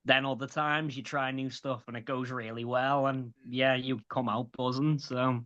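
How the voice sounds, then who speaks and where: Late-20s comic with light Scouse accent, sharp bright voice, sweary chatty guest, dull lo-fi audio. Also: male voice, medium pitch, level tone, normal speed. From grew up in Liverpool. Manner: chatty, sweary, many restarts. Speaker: stand-up comedian guest. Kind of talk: casual interview chat.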